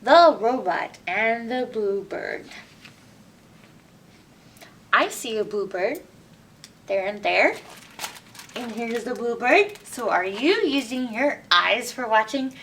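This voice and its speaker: Lady doing kids storytime, really slow n expressive, kinda far from mic w some noise. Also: fairly high pitch. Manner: expressive, asks listeners questions. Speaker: library storytime reader. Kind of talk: read-aloud picture book storytelling for children, with asides. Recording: distant mic with background noise.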